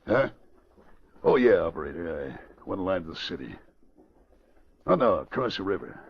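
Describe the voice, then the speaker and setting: An actor playing a hot-tempered gangster boss with a rough voice and bullying slangy talk, in 1940s radio style. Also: male voice, low voice, big pitch swings, normal speed, full character voice. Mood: gruff, suspicious. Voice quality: gravelly, rough. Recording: muffled old radio recording.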